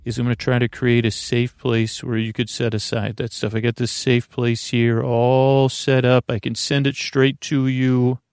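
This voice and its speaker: Gravelly, creaky man rambling for bedtime. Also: medium pitch, little pitch movement, darkish tone, no echo, clean studio sound. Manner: meandering, halting, trails off and repeats words; stammers on words. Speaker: host of a bedtime sleep podcast.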